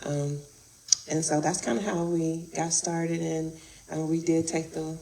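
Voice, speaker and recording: A woman's voice: low-pitched, steady tone, a little slow, vocal fry, mellow, low-quality recording. A woman raised in Chicago, chatting casually in an interview and laughing often as she recalls producing an event.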